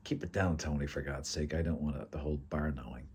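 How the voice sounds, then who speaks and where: A man's voice: deep voice, moderate pace, a little mellow, a little hiss or hum, close to an everyday voice. A man with an American accent voicing a guarded, weary intelligence man talking to friends in a story. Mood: hushed, annoyed.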